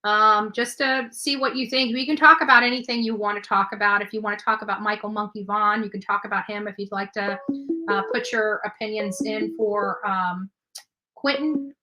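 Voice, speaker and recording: A woman's voice: medium pitch, steady tone, moderate pace, bright, forceful tone, low-quality recording. A woman hosting a casual true crime call-in show, speaking in a blunt, questioning way. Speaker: live call-in show host.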